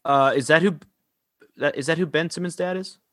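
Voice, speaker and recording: A high, bright-voiced man hosts a podcast, talking in an excitable, joking way. His voice sounds close and dry with no room around it. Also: no room sound. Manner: excitable, jokey, quick asides. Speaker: podcast host. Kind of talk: casual chat.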